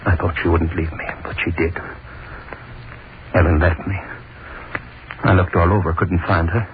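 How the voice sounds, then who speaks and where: A man playing a deep-voiced gloating hangman. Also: flat delivery, normal speed, natural voice. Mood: brooding. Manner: gloating, brooding, lingers on words. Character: obsessive middle-aged male hangman, gloating and cruel. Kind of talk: 1930s radio drama acting, first-person monologue. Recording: scratchy tinny 1930s radio broadcast.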